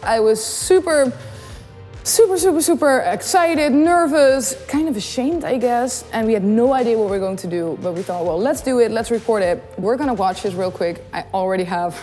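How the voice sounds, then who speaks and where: A woman's voice: a little high, normal speed, long reverb tail, soft background music. A young woman with a Dutch accent, chatting casually to camera in a small studio, bubbly and giggly as she tells stories. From Netherlands. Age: young adult. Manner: bubbly, giggly, animated storytelling. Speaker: YouTube singer and host. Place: small studio in front of a blue backdrop. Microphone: studio condenser mic on the desk.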